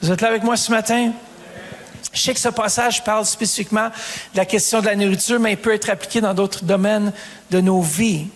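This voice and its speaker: High-voiced pastor preaching in a reverberant hall. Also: male voice, fairly even intonation, brisk. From Canada. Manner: preaching with rising emphasis and calls to the congregation. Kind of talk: sermon.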